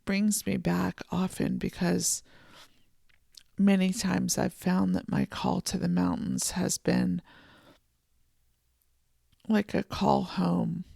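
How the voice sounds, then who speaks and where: Slow, gravelly, froggy-voiced podcast host. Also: mid-range voice, little pitch movement, dry recording, studio quality. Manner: soft, slow and contemplative, with gentle restarts. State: froggy morning voice. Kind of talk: reflective solo podcast monologue. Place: home office and art studio.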